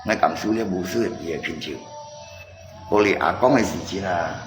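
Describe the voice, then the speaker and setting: A man's voice: sits low, unhurried. A man chatting casually on a livestream, in an agitated, argumentative way, often repeating himself. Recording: echoey reverberant space, music behind.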